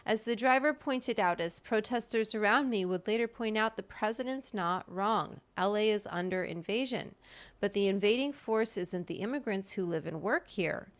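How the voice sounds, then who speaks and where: A woman host with a smooth, clean voice in a middle register reads from a written piece. Her delivery is emphatic and impassioned, like someone presenting an argument she means, with brief spoken asides. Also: mid-range voice, normal speed. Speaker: host reading an article aloud. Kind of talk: reading a written political essay aloud with brief asides.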